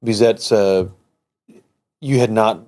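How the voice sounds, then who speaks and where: Deep mellow guy hosting, asks long wandering questions, says sir. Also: takes it slowly. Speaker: podcast host. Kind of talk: interview questions.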